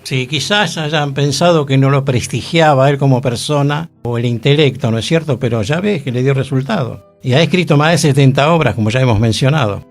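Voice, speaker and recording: Conversational middle-pitched radio man. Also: a little fast, hardly any pauses, soft background music. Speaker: radio show co-host and actor. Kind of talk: cultural radio discussion.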